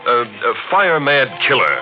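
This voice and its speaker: Fast-talking, urgent radio-drama doctor. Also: male voice, mid-range voice, huge pitch swings. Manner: firm, urgent, clipped. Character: brisk, authoritative male doctor, urgent and resolute. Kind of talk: 1940s radio mystery acting. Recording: narrow-band 1940s radio recording with music.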